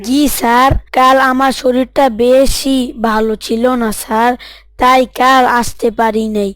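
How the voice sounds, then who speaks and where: Schoolboy, played by a woman: high voice, devout, polite, principled, gently preaching, close dry sound. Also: level tone, measured pace, clear, resonant tone, no echo. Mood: respectful. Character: devout, earnest schoolboy Abu Rayhan, bold and principled.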